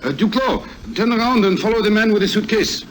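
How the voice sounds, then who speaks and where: An actor playing an indulgent, easily flustered French husband who hems and haws in an old radio drama. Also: male voice, high-pitched, expressive, brisk. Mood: commanding. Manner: hemming, indulgent. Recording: noisy old radio recording.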